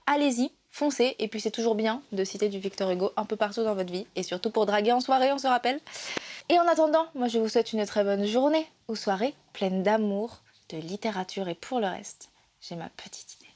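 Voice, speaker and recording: A woman's voice: mid-range voice, a little fast, a little mellow. Woman vlogger, casual lit explainer, hesitant with many fillers, playful. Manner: playful and emotional, laughs often. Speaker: literature video presenter.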